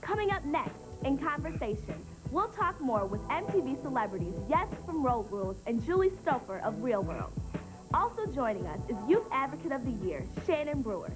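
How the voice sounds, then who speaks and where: A woman's voice: high-pitched, big pitch swings, moderate pace, poor audio. A woman announcing upcoming TV segments from a script. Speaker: TV show announcer. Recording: clear music under voice. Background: music.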